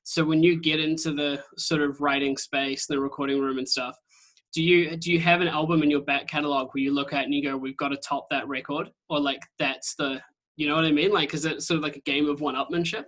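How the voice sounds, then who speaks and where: A man with a New Zealand accent hosting a podcast interview over a video call, chatty and excitable, swearing casually. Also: fairly high pitch, a little fast, low-quality recording. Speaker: podcast host.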